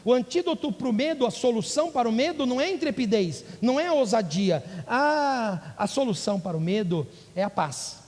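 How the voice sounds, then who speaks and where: A man's voice: extremely high pitch, lively intonation, fairly quick, few pauses, a little mellow. A male pastor preaching a sermon, rising into emphatic bursts and asking the congregation questions. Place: church. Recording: church hall with long reverb.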